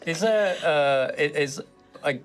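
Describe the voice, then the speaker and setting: A man's voice: fairly high pitch, lively intonation, takes it slowly, faint music behind. A man playing a tabletop role-playing game, talking through questions and plans casually.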